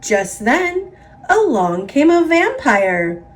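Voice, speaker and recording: Very slow, animated American woman storyteller. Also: sits high. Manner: expressive, emphatic, repetitive build-ups. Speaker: storybook narrator. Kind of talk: read-aloud children's story narration.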